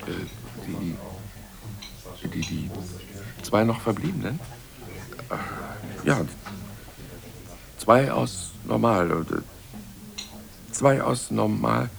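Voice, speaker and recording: Radio play casting: a vague titled chief scientist, slow and heavily creaky, halting, forever losing his thread. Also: on the low side, animated, a crowd in the background, exaggerated voice. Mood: dithering. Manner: halting, distracted, repeats himself. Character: vague, dithering senior scientist, absent-minded titled gentleman. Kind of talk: radio drama acting.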